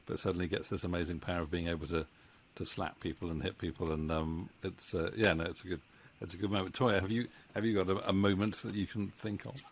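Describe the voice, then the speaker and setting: A middle-aged man hosting a casual interview in a halting, hesitant way, close on a studio mic. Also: sits low, fairly even intonation, slight background noise. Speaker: interview host, voice actor. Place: small home room with bookshelves. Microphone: studio mic on boom arm, close.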